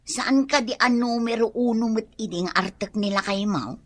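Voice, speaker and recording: A woman's voice: on the high side, moderate pace, lightly acted. A woman playing a nagging, exasperated wife in an Ilocano radio drama, with an Ilocano accent. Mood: gossiping.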